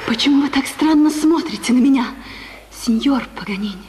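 Actress as a high-voiced, tender young opera singer. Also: female voice, fairly quick, darkish tone, long reverb tail, natural voice. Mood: tender, coy. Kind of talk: 1950s Soviet radio drama acting.

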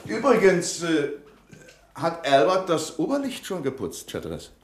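An actor playing a pedantic, self-important bank manager in a radio crime comedy, pompous and officious. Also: male voice, fairly high pitch, huge pitch swings, unhurried, a little hiss or hum. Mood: fussy, bossy. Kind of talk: 1970s German-language radio crime comedy acting.

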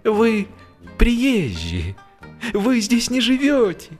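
A man voicing a bald, fussy old graveyard caretaker in a radio story, eager and servile, with a shrill, squeaky voice. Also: huge pitch swings, faint music behind, full character voice. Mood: coaxing. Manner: hurried, exclaiming, obsequious.

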